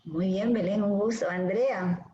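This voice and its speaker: A woman from Guayaquil, a lecturer briskly calling the roll in an online class over a video call. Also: medium pitch, mellow. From Guayaquil, Ecuador. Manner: brisk, friendly, calling names. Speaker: dermatology lecturer. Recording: echoey dull video-call audio with faint hiss.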